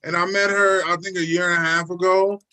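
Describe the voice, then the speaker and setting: A man's voice: high voice, flat delivery, a little fast, sharp tone, clean tone, rough recording. A man hosting an open mic, introducing the next poet in a rambling way with many fillers. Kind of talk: casual introduction.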